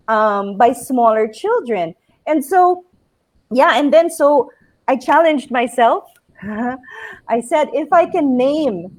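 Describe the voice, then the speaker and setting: A woman's voice: high-pitched, darkish tone, rough recording. A woman in her thirties with a Filipino accent, an author talking casually about her book, with frequent fillers and restarts. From Philippines. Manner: chatty, many fillers and restarts. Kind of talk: casual interview chat.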